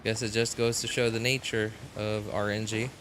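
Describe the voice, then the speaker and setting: A man live streaming a game, chatting casually in halting phrases that trail off. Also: fairly low pitch, little pitch movement, noisy background. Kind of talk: casual live chat while gaming.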